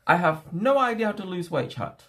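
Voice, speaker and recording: A young man whose first language is not English, chatting casually to his livestream viewers from home and thinking aloud in halting, restarting phrases. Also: sits high, sing-song, a bit bright. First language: a home language other than English. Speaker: streamer.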